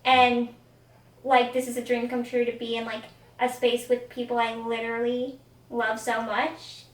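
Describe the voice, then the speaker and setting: A woman's voice: a little high, monotone, normal speed. A young woman co-hosting a podcast, talking eagerly in a gushing way with many fillers. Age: young adult. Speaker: podcast co-host. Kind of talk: casual sibling chat. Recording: echoey room, distant mic.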